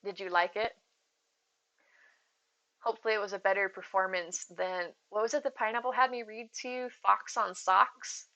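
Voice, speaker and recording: A woman's voice: mid-range voice, fairly even intonation, normal speed, pushed and bright, rough recording. A woman streamer chatting casually with her viewers, rambling and giggling as she goes, with a soothing voice. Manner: chatty, rambling, giggly, addresses chat by name. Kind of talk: casual live-stream chat.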